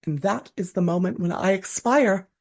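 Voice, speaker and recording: An actress in a radio play voicing a gushing, melodramatic amateur stage actress of the Regency era, speaking with theatrical earnestness. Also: female voice, mid-range voice, moderate pace, darkish tone, lightly acted. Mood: tragic, theatrical. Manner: theatrical, breathy earnestness, dramatic flourishes.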